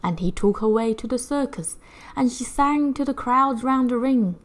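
A woman reads a rhyming picture book to children. Her delivery is theatrical and measured, following the rhythm closely, with highly animated pitch. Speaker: children's story reader.

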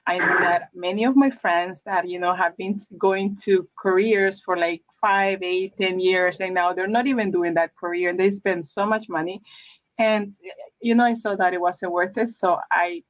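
Lady on a video call, lowish voice, kinda muffled, lots of restarts. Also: steady tone, moderate pace. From El Salvador. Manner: hesitant, many fillers and restarts. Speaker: guest. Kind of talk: casual interview chat. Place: room with brick wall. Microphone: webcam on a video call. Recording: dull lo-fi sound.

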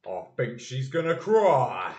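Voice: medium pitch, sing-song, takes it slowly, a little mellow. An actor playing a dim, mocking sidekick bully in an audio play. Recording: echoey room.

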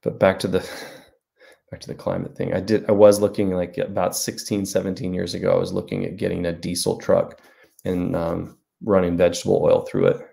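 A man's voice: low voice, level tone, normal speed, gravelly, warm tone. A man in his forties, an author hosting a casual livestream from a small room at home, talking to a webcam and answering viewer comments in a rambling, self-deprecating way. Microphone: webcam at desk.

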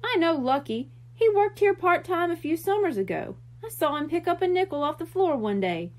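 A woman's voice: extremely high pitch, very animated, moderate pace, a little mellow, pitched above the performer's own voice. A woman voicing a friendly, emphatic small-town male bookseller in a storybook reading. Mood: indignant.

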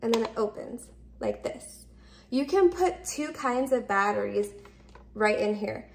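A woman in her thirties talks through a product demonstration in a casual, instructional way. Her voice sits fairly high and often falls into vocal fry. She explains each step simply and tends to repeat herself. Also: lively intonation, unhurried, slight background noise. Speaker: product reviewer. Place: indoors, open kitchen and dining room at home.

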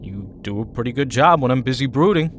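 An actor playing a brooding, wounded teenage team leader in an audio drama, moody and halting. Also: male voice, mid-range voice, animated, a little hiss or hum, lightly acted. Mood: troubled, weary. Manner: moody, hesitant, curt.

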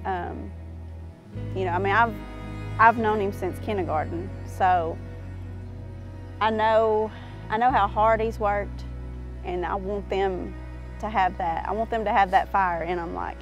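A woman's voice: medium pitch, fairly even intonation, unhurried, pauses often, highs cut off. A woman you would hear in a farm family profile, chatting to an interviewer while music plays behind her. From Arkansas, United States. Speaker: farmer, mother. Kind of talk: casual interview talk. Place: outdoors in an open pasture.

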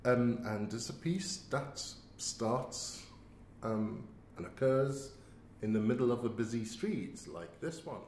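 A man co-hosting a podcast in casual, halting chat, recorded in an empty office. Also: animated. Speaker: podcast co-host. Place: very empty, cave-like office indoors. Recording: echoey empty office, low rumble noise.

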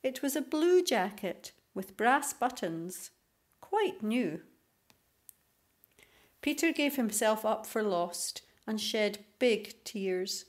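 A woman reading a children's story aloud as scripted narration, in an expressive storytelling manner. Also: fairly high pitch, slow. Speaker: storybook reader.

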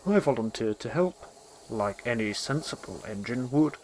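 A man voicing a big, gentle, sensible male engine who speaks in a calm, mild way. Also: mid-range voice, moderate pace, music in the background, natural voice.

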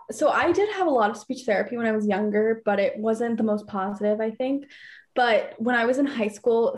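A young woman on a video call from her bedroom, speaking with many fillers and occasional stutters. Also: fairly high pitch. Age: young adult. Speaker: teen advisory council member. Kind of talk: casual panel discussion on video call. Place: bedroom at home, indoors. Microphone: laptop webcam on video call.

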